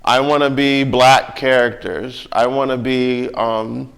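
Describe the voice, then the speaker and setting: A man's voice: mid-range voice, steady tone, takes it slowly, vocal fry, fairly bright tone, poor audio. A man with an American accent talking casually and reflectively, often checking that the listener agrees. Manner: conversational, often seeking agreement with tag questions.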